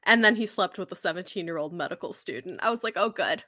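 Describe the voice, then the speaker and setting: A woman's voice: lowish voice, lively intonation, fast, sharp tone, no room sound. A woman co-hosting a podcast, chatting casually about books. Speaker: podcast co-host. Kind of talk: casual book discussion chat.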